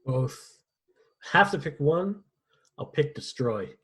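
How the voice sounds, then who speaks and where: A man playing a husky, sardonic mercenary villain. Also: on the high side, lively intonation, takes it slowly, a bit bright, exaggerated voice. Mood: sardonic, smug. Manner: sardonic, profane. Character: cynical, mercenary male villain, smug and ruthless. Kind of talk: dramatic script table read.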